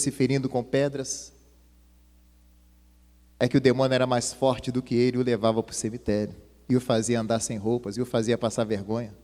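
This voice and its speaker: A man's voice: mid-range voice, a little mellow. A male pastor preaching an impassioned sermon, his voice swelling and dropping. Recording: long hall reverb.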